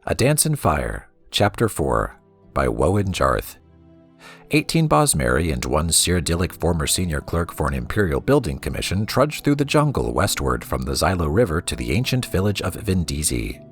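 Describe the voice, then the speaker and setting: Male game storyteller, bottom-register voice with a fry edge and animated intonation, reading lore books aloud. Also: rumbling low, moderate pace, soft background music.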